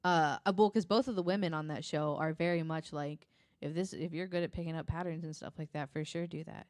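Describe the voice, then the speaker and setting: Female co-host, slightly low voice, informal and halting conversational delivery, dry sound. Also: steady tone, a little mellow, no room sound. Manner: halting, searching for words, informal with occasional swearing. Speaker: podcast co-host. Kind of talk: casual podcast chat.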